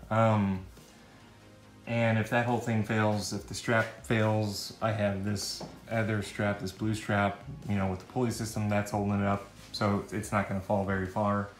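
Low-voiced man in an echoey garage. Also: flat delivery, normal speed. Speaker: vlogger demonstrating a DIY setup. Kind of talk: casual how-to narration. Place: indoors in a cluttered home garage. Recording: echoey garage, faint music.

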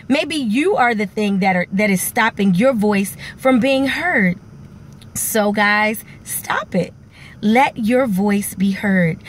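A woman's voice: a little high, slight background noise. A middle-aged woman, a teacher and singer, giving an earnest, encouraging talk to her viewers from a parked car, filmed on a phone held at arm's length. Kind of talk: inspirational vlog talk.

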